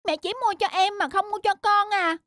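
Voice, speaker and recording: A woman's voice: very high voice, steady tone, normal speed, a bit bright, dry recording. A woman voicing a jealous, sulky little boy kitten with a whiny manner in a children's cartoon. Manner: whiny, petulant. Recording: studio voice over background music.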